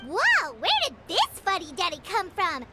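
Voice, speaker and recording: Tiny game fairy companion: very high, bouncy, excitable, brash. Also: female voice, normal speed, over loud music, heavily acted. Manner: squeaky, excitable, speaks of herself in third person. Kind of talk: video game voice acting.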